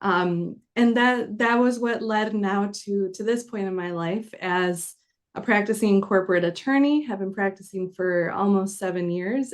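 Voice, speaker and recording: A woman in her thirties from the Chicago area, a corporate attorney explaining things earnestly on a podcast interview. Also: medium pitch. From Chicago area, United States.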